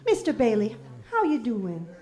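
A woman's voice: on the high side, wildly swooping pitch, normal speed, noisy background. A woman playing a caring tavern keeper's wife who speaks broken English, in an amateur radio play. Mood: worried.

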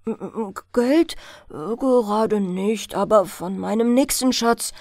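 A woman's voice: medium pitch, slow pace, a little mellow, dry recording. A woman voicing a poor, henpecked male woodcutter in a fairy tale, sheepish and hesitant. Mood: flustered, sheepish. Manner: hesitant, stammering.

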